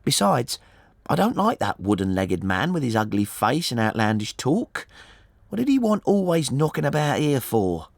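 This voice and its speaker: An anxious, chatty working-class wife from a storybook, played by a man, pitched high and swinging as she talks. Her speech is colloquial and deferential, full of fretting, and the sound is close and dry. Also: sing-song, normal speed, creaky, no echo. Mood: worried, chatty. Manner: chatty, colloquial, deferential, saying sir often. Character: anxious, talkative working-class married woman, stoutish. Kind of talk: audiobook character voice.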